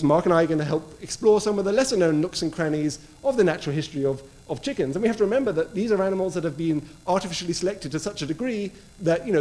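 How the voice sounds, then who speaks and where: A man's voice: a little high, darkish tone. A man introducing a public book talk, explaining eagerly. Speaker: author and speaker.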